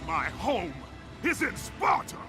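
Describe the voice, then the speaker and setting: A man playing a grim, raging Spartan warrior in a video game, with a gravelly voice and defiant growl. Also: high voice, very animated, slow, full character voice. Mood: defiant rage. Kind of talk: video game cutscene acting. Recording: loud music bed behind the voice.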